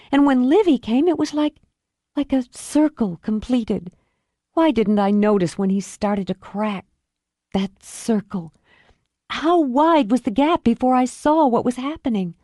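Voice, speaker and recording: A woman voicing a distraught young mother who pleads through tears, her voice breaking high. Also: dark tone, no echo, studio quality. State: tearful.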